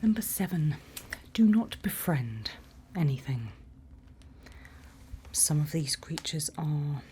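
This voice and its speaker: A woman performing a whispered ASMR roleplay as a calm night-watch guide, breathy, instructive and reassuring, close to the microphone. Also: sits low. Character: calm female night-watch guide in a dark monster-filled forest. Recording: faint background ambient noise.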